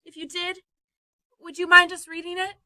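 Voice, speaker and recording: A woman acting as an encouraging young romantic in a radio play. She has a very high, bright voice and a gentle delivery, recorded dry. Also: flat delivery, normal speed, dry recording, full character voice. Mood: curious. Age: young adult.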